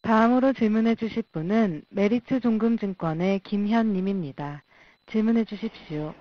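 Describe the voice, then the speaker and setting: A woman's voice: medium pitch, normal speed, no echo. Woman call operator reading scripted lines, dry muffled sound. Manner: formal, scripted announcements.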